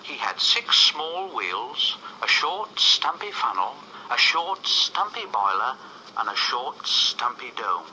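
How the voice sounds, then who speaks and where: Male children's story narrator, unhurried and expressive, phrase by phrase, slight background noise. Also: mid-range voice, poor audio. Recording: played-back clip with faint noise.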